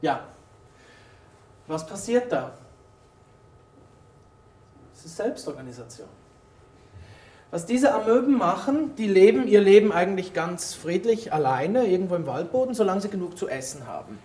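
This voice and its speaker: A man's voice: high-pitched, sing-song, normal speed, a little mellow, slight background noise. A man giving an explanatory conference talk in an informal way, addressing his audience directly as a native German speaker. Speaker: conference speaker, former molecular biologist. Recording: roomy echo, distant microphone in hall.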